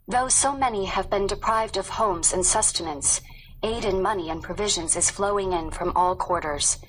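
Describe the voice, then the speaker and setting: A woman reading a scripted textbook narration in a formal, measured manner. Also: medium pitch, a bit bright, slight background noise, poor audio.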